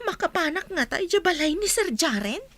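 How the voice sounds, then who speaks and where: A woman's voice: extremely high pitch, big pitch swings, normal speed, a bit bright, exaggerated voice. A woman playing a cautious, secretive young household helper in a radio comedy drama, earnest and urgent. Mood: worried.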